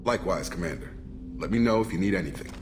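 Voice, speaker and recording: Deep-voiced earnest soldier. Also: male voice, lively intonation, fast talker, noisy background. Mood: earnest, respectful. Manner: earnest, respectful. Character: sincere, dutiful male soldier crewman, principled and respectful. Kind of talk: video game dialogue acting. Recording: prominent music behind the voice.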